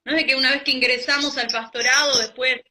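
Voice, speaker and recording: A woman's voice: high-pitched, steady tone, quick pace, sharp tone. A woman pastor speaking firmly and emphatically about church leadership. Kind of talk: doctrinal talk with brief affirmations.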